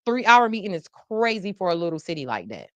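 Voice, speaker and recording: A woman's voice: fairly low pitch, quick pace, dry recording, highs cut off. A woman hosting a live news podcast, talking casually and playfully to her viewers in the chat. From South Florida. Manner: animated, playful, talks to the chat. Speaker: podcast host.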